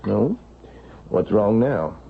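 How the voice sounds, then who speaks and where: Gravelly, deep, scheming husband. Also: male voice, animated, drawn-out words, heavily acted. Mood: irritated. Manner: coolly polite, insinuating. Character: menacing, smooth-talking scheming son-in-law and husband. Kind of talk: vintage radio drama acting. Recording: dull, noisy old radio recording.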